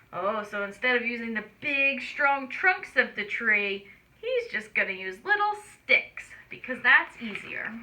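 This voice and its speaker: Bright, animated woman reading to children. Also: fairly high pitch, rough recording. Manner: expressive, explaining simply to small children. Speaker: storyteller. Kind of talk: children's read-aloud narration with asides.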